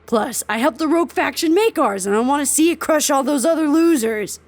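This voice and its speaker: A woman's voice: high voice, animated, brisk. A woman playing a brash, competitive, teasing staffer in audio drama dialogue, blunt and playfully bossy.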